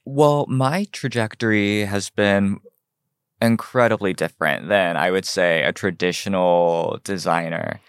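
A young American man speaks in a low, smooth, resonant voice. He tells his story in an earnest, measured way. The sound is dry and close. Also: no echo. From United States (Ohio). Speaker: guest, fashion designer. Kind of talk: podcast interview.

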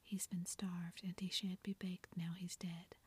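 A woman's voice: fairly low pitch, flat delivery, measured pace, slightly rough. A woman whispering the part of a tender, tearful young girl in a storybook reading. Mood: grieving.

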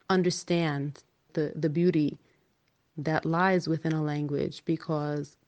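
A woman from New York, an English as a second language teacher, telling her own story in an earnest, measured way. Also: deep voice, darkish tone. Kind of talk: reflective personal story.

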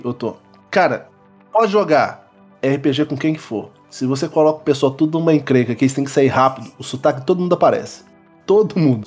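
Higher-pitched, husky Brazilian man chatting. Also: a little mellow. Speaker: guest psychologist. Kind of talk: casual podcast conversation.

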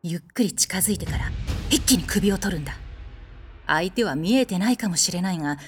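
A woman's voice: lowish voice, animated, fairly quick, soft background music, natural voice. A woman playing a haughty, blunt warrior goddess in a comedic audio drama, speaking in an imperious, curt way with a protective streak. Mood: instructing. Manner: imperious, commanding, curt masculine phrasing.

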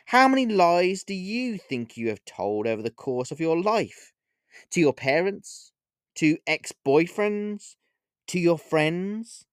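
A man voicing a taunting, theatrical witch, pitched up. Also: sing-song, darkish tone, clear, resonant tone, no room sound, clean studio sound. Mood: accusing, disgusted. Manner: mocking, drawn-out emphatic phrasing. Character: taunting, theatrical female witch, scornful and playful. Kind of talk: audiobook character voice.

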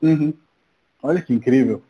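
A man's voice: mid-range voice, moderate pace, breathy edge, lo-fi sound. A man from Rome, a native Italian speaker, conducting a casual, conversational interview. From Rome, Italy.

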